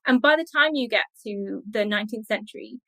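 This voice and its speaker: Female academic interviewee, higher-pitched voice, close dry sound, thoughtful explanatory delivery. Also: fairly quick, fairly bright tone, dry recording, low-quality recording. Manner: scholarly, thoughtful. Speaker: academic guest expert.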